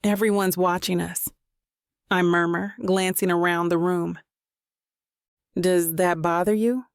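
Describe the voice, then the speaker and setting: Woman narrating romance, gravelly edge, dry sound. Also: fairly low pitch, steady tone, measured pace, no room sound. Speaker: audiobook narrator. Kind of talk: scripted first-person romance narration.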